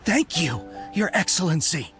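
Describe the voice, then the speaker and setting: Respectful game soldier, contrite, formal, lively swooping intonation, music behind. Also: mid-range voice, a little slow. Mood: startled, grateful. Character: deferential, contrite soldier under a commander. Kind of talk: video game voice acting.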